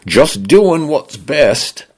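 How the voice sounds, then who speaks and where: A man voicing a bossy male smart home with a Cockney-leaning British accent and a bright, friendly tone. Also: fairly high pitch, sing-song, extremely slow, vocal fry, voice pushed up, full character voice. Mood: cheery. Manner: cheerful, preachy, stubborn.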